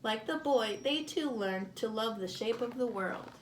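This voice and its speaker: A woman reading a picture book aloud to children, expressively and with deliberate phrasing, as a storyteller. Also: fairly high pitch, animated, slight background noise, poor audio.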